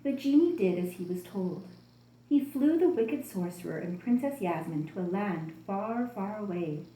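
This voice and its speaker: Bright-toned woman storyteller in an echoey room. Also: mid-range voice. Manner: expressive, deliberate storytelling addressed to young listeners. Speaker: children's storyteller. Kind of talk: expressive scripted story reading for children.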